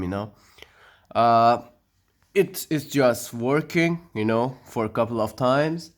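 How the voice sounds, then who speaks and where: A man whose first language is Persian, narrating a computer setup walkthrough with frequent pauses, restarts and loose non-native phrasing. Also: mid-range voice. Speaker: tech tutorial maker. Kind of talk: screen-recorded walkthrough narration.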